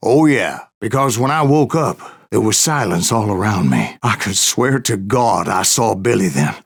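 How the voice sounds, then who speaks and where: An actor playing a grizzled old gunslinger, telling boastful tales in a gravelly American Western drawl with a folksy, sardonic manner. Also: male voice, on the low side, expressive, moderate pace. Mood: wry, dramatic. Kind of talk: dramatic first-person storytelling.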